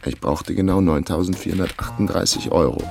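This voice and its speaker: A man's voice: low voice, steady tone, a little fast, creaky, a little mellow, soft background music. An actor playing a wry, broke young Berlin man who narrates his own misadventure in a dry, laconic radio play style. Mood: wry, defiant. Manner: dry, laconic, self-ironic. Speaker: narrator of a radio crime drama.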